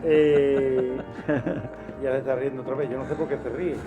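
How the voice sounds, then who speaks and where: A man's voice: medium pitch, level tone, normal speed. A man co-hosting a radio talk show, chipping in with casual banter and short remarks. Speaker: radio co-host. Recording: dull lo-fi sound, clear music behind.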